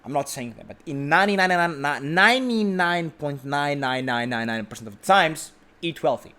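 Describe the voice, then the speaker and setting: A man's voice: on the high side, animated, moderate pace, pushed and bright. A young man giving an emphatic motivational talk, repeating his points and often asking "right?". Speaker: motivational speaker. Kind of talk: motivational monologue.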